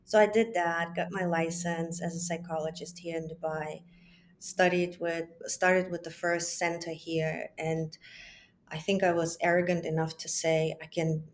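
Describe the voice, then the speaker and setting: A woman's voice: on the low side, flat delivery, unhurried, slight background noise. A woman in her forties, a psychologist who grew up in Germany, speaking reflectively as an interview guest over a remote call from home. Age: 40s. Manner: reflective, with frequent restarts and asides. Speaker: psychologist and business founder, guest. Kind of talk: casual interview conversation. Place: home, children in the background.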